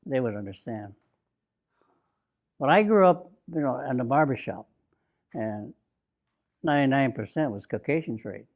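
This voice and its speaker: A man in his nineties from Bainbridge Island, Washington, telling his own memories in an oral history interview, slow and reflective, halting with fillers and restarts. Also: medium pitch, animated, a little mellow. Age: 90s. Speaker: interviewee, WWII-era Japanese American. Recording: interview recording.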